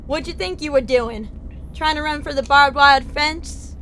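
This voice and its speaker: Young hitchhiker gal played by a woman: high voice, chatty, slangy, down-to-earth, radio drama with faint car noise. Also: unhurried, fairly bright tone. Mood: indignant. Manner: casual, slangy, forthright.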